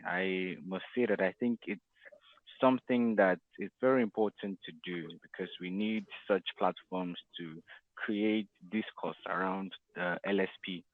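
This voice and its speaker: A man's voice: low voice, no echo. A man speaking conversationally as a guest in a podcast interview. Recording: tinny, dry phone-like line.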